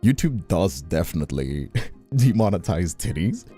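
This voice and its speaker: A man's voice: deep voice, very animated, moderate pace, warm tone, soft background music. A man hosting a casual stream chat, venting in a rambling, exasperated way and often repeating himself. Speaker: streamer and host.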